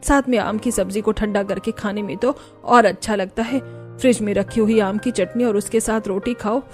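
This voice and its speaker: A woman's voice: medium pitch, steady tone, moderate pace, faint music behind, voice pushed down, natural voice. A woman voicing an older, food-fussy mother-in-law in a cartoon family drama. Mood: pleased.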